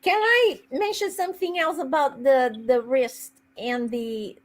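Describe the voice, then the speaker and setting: A woman's voice: sits high, a little slow. A woman with a Brazilian accent chatting casually and playfully on a video call. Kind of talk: casual chat.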